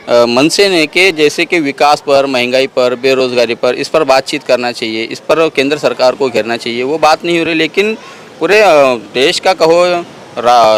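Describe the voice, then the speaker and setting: A male reporter with an Indian accent speaks in a somewhat high voice, pressing his interviewees with challenging questions. Light outdoor street and vehicle noise sits behind him. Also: a bit bright. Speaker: news reporter interviewing people. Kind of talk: on-the-spot news interview questioning.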